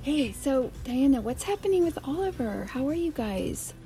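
A woman's voice: sits high, big pitch swings, normal speed, mellow, soft background music. An actress playing a chatty, candid wife who confides in her girlfriends, expressively, in an audio drama. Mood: encouraging. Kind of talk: naturalistic audio drama dialogue.

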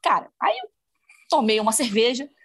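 Animated Brazilian woman telling a story. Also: a little high, normal speed, breathy edge. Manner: animated storyteller, many fillers like "cara" and "né". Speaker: podcast guest. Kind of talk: casual podcast chat.

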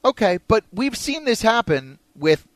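Sports radio host, American male, pitch a touch high, dry close-miked studio sound, opinionated banter. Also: sing-song, measured pace, no room sound. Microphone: close studio mic.

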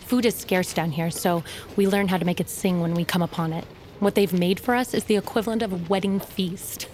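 An actress playing a loyal, plain-spoken survivor in an audio drama, measured and earnest, with formal old-world phrasing. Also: female voice, on the low side, steady tone, a little mellow, slight background noise, lightly acted. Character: guarded, plain-spoken woman outcast, loyal friend, survivor. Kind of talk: audio fiction drama acting. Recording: dramatic music underneath.

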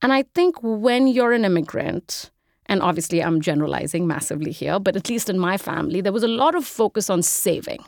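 A woman in her forties from India with an Indian accent, a guest in an interview talking in quick, animated bursts. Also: medium pitch, creaky, dry recording, clean studio sound. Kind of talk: casual interview conversation.

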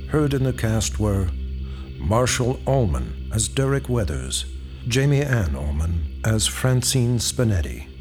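A man announces a radio drama program in a scripted credits style. His voice has an average male pitch, and music plays clearly behind him. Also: a little mellow. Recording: music under the voice.

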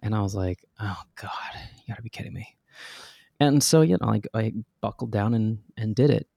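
Gravelly low-voiced man telling a story. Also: brisk, warm tone, no room sound. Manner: chatty, self-deprecating, laughs often. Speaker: guest, stage performer. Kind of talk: casual interview storytelling.

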